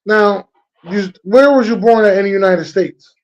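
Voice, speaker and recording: A man's voice: high voice, moderate pace, dull audio. A man hosting a casual interview, talking in a loose, slangy way and often repeating his phrases. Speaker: host.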